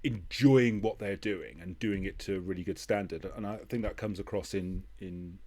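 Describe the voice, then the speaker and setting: A man with a fairly low voice hosts a casual conversation. He speaks informally and somewhat hesitantly, restarting phrases as he works towards his point. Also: normal speed, a little mellow. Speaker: podcast host. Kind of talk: casual interview chat.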